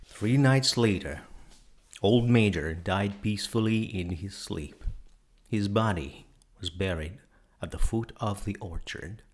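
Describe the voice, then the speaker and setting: Male audiobook narrator, fairly deep, delivering a dramatic, measured literary reading.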